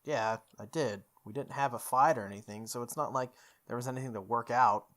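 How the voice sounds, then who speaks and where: A woman's voice: extremely low pitch, a little fast. A woman voicing a blunt, casual, protective teenage boy from a visual novel. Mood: dismissive. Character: stubborn, protective teenage boy, archer turned club quitter.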